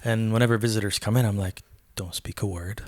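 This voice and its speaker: A man from Libya hosting a casual interview, a relaxed storyteller who acts out the quoted dialogue in his anecdotes. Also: on the low side, brisk, no room sound. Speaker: podcast host. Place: in London.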